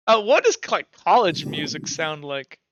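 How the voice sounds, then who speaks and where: A man's voice: fairly high pitch, big pitch swings, a bit bright, no room sound, lo-fi sound. A young man running a tabletop game as game master, chatty and stumbling, with lots of fillers. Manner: chatty, stumbling, quick asides with frequent fillers. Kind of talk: casual tabletop game chat.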